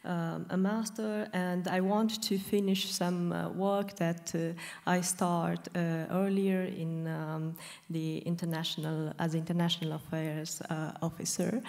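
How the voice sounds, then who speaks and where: A young woman from Romania introducing herself as a board candidate at a conference, halting with many pauses and fillers. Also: on the low side, little pitch movement, gravelly, a little mellow. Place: conference lecture hall.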